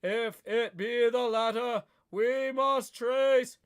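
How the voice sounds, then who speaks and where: A man reading aloud, voicing an elderly scholarly professor who speaks in a measured, solemn way. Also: very high voice, steady tone, clean tone. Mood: planning. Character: elderly scholarly professor leading vampire hunters.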